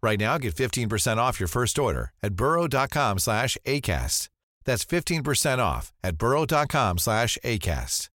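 A man's voice: mid-range voice, big pitch swings, measured pace, a bit bright, no room sound. An American man reading a scripted advertisement as an announcer.

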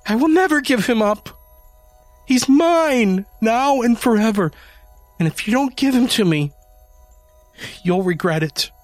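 A man's voice: extremely high pitch, animated, unhurried, pauses often, no echo, faint music behind, voice pushed up. A man voicing a frantic, grieving woman who pleads and screeches over her kitten. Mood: shrieking.

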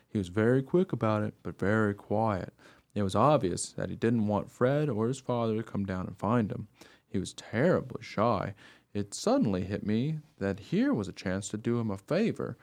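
Man playing an eager, naive dog, animated storyteller. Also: lowish voice. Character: eager, naive, trusting young dog telling his story. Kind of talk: first-person radio play narration.

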